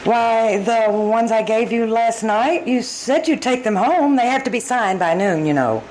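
A woman's voice: fairly high pitch, expressive, a little slow, darkish tone, a little hiss or hum, low-quality recording. A woman playing a courteous, efficient office secretary in 1940s radio style, businesslike in manner. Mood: polite, puzzled.

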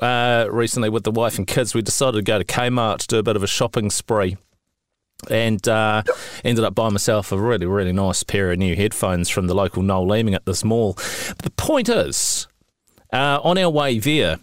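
A man's voice: fairly low pitch, dry recording. A middle-aged man from New Zealand with a New Zealand accent, chatting as a podcast co-host, swearing freely and telling stories with growing indignation. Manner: swears freely, animated storytelling with rising indignation. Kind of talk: casual chat.

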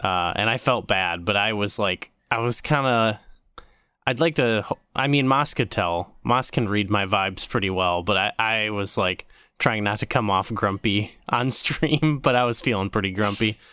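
Male co-host, slightly low, bright clean voice, laid-back, hesitant casual chat. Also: moderate pace, smooth, no echo, studio quality. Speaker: podcast co-host.

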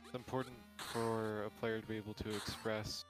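A man's voice: on the low side, level tone, normal speed, over music, rough recording. A man hosting a podcast, chatting about video games with dry, understated humor. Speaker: podcast host.

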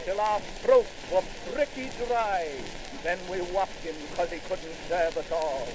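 A man giving an old-fashioned theatrical poetry recitation, declamatory, his voice swooping sharply upward on shouted lines, heard on a crackly 1919 phonograph recording. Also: high-pitched, lively intonation, a little slow.

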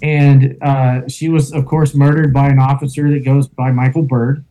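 A man with a loud voice, a guest in an interview, telling a first-person account with fillers like "um" and "you know". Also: medium pitch, level tone, normal speed, low-quality recording. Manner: storytelling with fillers and reenacted quotes.

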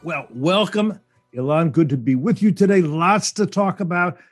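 A man's voice: a little high, moderate pace, over music. A male radio host interviewing a guest in a folksy, wry manner. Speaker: radio talk show host. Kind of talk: broadcast interview.

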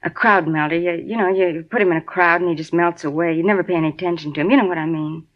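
A woman's voice: fairly low pitch, quick pace, lightly acted. An actress playing a chatty, flustered middle-aged woman who manages an apartment building. She rambles, sounds defensive and repeats herself, in a 1950s radio drama. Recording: dull lo-fi 1950s radio broadcast.

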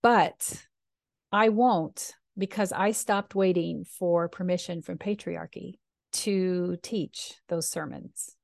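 A woman minister with a deep voice, speaking earnestly and reflectively in slow, deliberate phrases. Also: creaky, a little mellow, dry recording, studio quality. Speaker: minister and podcast host. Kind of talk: reflective personal talk.

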